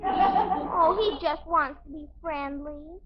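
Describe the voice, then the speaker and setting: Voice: sits high, slow pace, crowd noise. A performer playing an innocent, whiny young American daughter in a 1950s radio comedy. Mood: innocent, cajoling. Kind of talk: 1950s radio sitcom acting. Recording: muffled 1950s radio recording.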